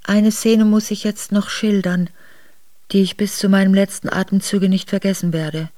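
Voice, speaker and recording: An actress playing a grieving Russian princess who narrates her memoirs in a radio drama, measured and solemn. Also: mid-range voice, little pitch movement, dark tone, no room sound, close to an everyday voice. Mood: sorrowful, restrained.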